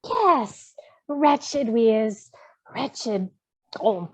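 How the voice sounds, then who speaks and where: A woman's voice: a little high, animated, exaggerated voice. A woman voicing a small, wretched, cunning creature with a whiny, hissing voice and broken grammar, at a fantasy script table read. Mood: fawning, wretched. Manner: slow, fawning, broken grammar.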